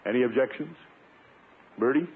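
A man's voice: medium pitch, normal speed, breathy edge. A man playing a pompous, blustering middle-aged uncle in 1950s radio comedy style, indignant and self-important. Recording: tinny, noisy 1950s radio recording.

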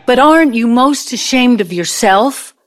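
A woman's voice: on the high side, animated, unhurried. An actress playing a bitter, incredulous ex-girlfriend, blunt and sardonic, in an audio play. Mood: accusing, bitter. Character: bitter, incredulous middle-aged ex-girlfriend, a pianist.

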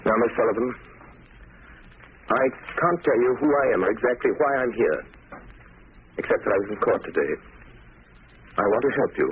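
A secretive, shrewd gentleman hero in a vintage radio serial. His voice is fairly low, measured and authoritative. It comes through a thin old recording with some hiss. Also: sing-song, phone-quality sound. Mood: earnest. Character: calm, commanding gentleman crime-fighter, secretive and shrewd. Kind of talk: 1940s radio drama acting.

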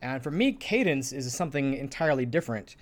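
A man's voice: mid-range voice, expressive, fast talker. A man explaining things in a casual discussion as a podcast co-host.

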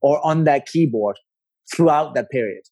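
Husky middle-aged man with Danish accent. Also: medium pitch, moderate pace, mellow. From Denmark. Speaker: interview guest, entrepreneur. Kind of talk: conversational interview storytelling.

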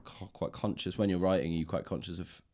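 A man's voice: sits low, fairly even intonation, fast, a little mellow. A man interviewing in a casual, thoughtful, roundabout way as a podcast host. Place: library room.

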